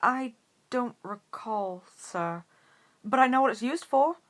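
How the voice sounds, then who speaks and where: A woman's voice: on the high side, lively intonation, takes it slowly, voice pushed up. A woman voicing a polite, unsure young schoolboy in a storybook reading, answering respectfully and hesitantly. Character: polite, unsure eleven-year-old schoolboy wizard.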